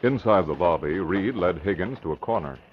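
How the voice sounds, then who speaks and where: A man's voice: deep voice, animated, fairly quick, slight background noise. A man narrating a scripted radio crime drama in the 1940s style. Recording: muffled old radio broadcast. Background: loud music.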